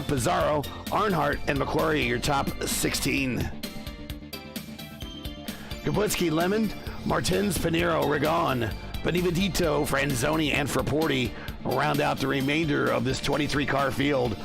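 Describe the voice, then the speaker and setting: A man with a rough voice calling a sim racing broadcast, reading off finishing positions quickly. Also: on the high side, music in the background. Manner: rattles off finishing order quickly. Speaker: race commentator.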